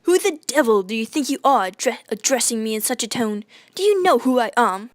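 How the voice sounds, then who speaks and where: A woman voicing a pompous, scornful gentleman explorer in a radio drama, with haughty, formal diction. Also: fairly high pitch, expressive, fairly quick, no echo, clean studio sound, lightly acted. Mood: indignant.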